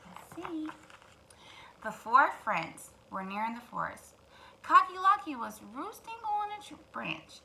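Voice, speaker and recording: High-pitched, animated woman reading a storybook. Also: gravelly, slight background noise, poor audio. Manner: addresses young listeners with wondering questions. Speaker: children's storytime host and reader. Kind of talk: animated storybook narration for children.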